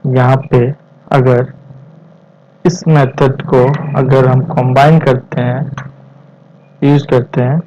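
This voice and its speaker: A man in his twenties with an Indian accent explains step by step as a tutorial instructor, in halting short phrases mixed with English terms. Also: mid-range voice, little pitch movement, noisy background. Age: 20s. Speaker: programming instructor. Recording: dull, lo-fi voice recording.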